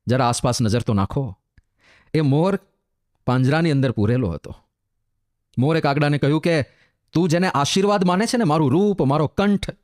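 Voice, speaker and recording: A man's voice: fairly high pitch, darkish tone, no echo, studio quality. A man with a Gujarati accent, a monk telling a moral fable in a sermon, with repetition, who acts out the birds' lines himself. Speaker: monk giving a discourse. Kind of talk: sermon storytelling.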